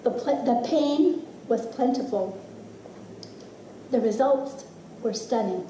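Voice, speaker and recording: A middle-aged woman reading a personal essay aloud as expressive, deliberate storytelling with dramatic pauses. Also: on the high side. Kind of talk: literary reading of a personal essay to a live audience. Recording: roomy, distant mic with faint noise.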